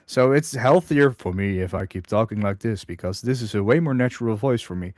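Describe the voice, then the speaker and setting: A man from the Netherlands, hosting a casual livestream chat in a droning, halting way. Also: on the low side, dry recording, studio quality. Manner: hesitant, many restarts, self-described droning monotone. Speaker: livestream host.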